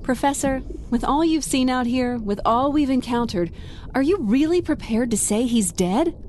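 A woman voicing a bold young female undersea rescue captain in an audio drama, giving brisk orders. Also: high-pitched, expressive, a little mellow, slight background noise. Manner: brisk, commanding. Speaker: team leader. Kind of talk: comedic audio drama acting.

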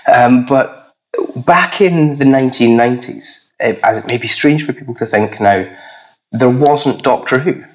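A man with a husky, slightly hoarse voice, a podcast guest chatting casually, hesitant and full of fillers. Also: unhurried, rough recording. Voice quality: husky, lower than usual. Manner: rambling, hesitant with many fillers. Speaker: podcast guest, comics critic.

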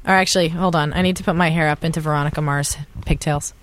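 Woman, lowish pitch, casual chat, performer between takes, faint background noise. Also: steady tone, fast talker. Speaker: performer in a group radio drama.

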